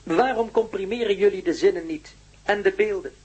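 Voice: medium pitch, brisk, darkish tone, slightly rough, dull audio, natural voice. An actor in a Dutch radio play voicing a blunt, impatient, superintelligent ancient being who speaks tersely and demandingly. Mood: curt disdain. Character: blunt, impatient superintelligent prehistoric being named Jack, newly taught language. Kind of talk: radio drama acting.

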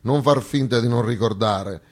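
A man's voice: mid-range voice, normal speed, close to an everyday voice. A man playing the South personified as a weary, plaintive one-legged underdog, plodding and stubborn in a comic stage dialogue. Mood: quietly accusing. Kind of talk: theatrical comic monologue dialogue.

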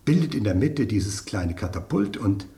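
An actor in a German radio play voicing a proud, pompous soup spoon with a refined palate, savoring every detail. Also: male voice, fairly low pitch, animated, normal speed, mellow, close to an everyday voice. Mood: self-important, savoring.

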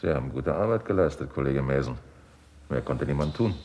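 An actor playing a composed, resigned surgeon in a 1960s German radio drama, speaking soberly and deliberately. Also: male voice, rumbling low, expressive, darkish tone. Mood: calm, resigned. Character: composed, resigned senior male hospital surgeon.

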